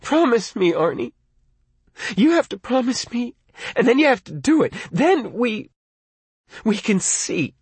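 A frightened teenage girl begs down a telephone line, her high, wavering voice always close to tears, as a male audiobook narrator puts her on. The delivery is husky and dry, with wide swings in pitch. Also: big pitch swings, a little slow, dry recording, heavily acted. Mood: pleading, tearful. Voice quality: wavering, tearful.

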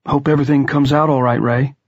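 A man's voice: medium pitch, normal speed, breathy edge, no room sound, lo-fi sound, voice pushed up, lightly acted. A man reading an audiobook, voicing a timid young pregnant woman with a small voice. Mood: soft.

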